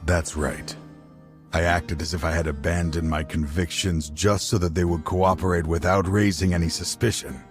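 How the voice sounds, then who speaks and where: An actor playing a dying older scholar in a video game, grave, weary and resolute. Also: male voice, fairly even intonation, moderate pace, soft background music, lightly acted. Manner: grave, weary, low-spoken. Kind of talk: video game cutscene voice acting. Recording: game dialogue over loud score.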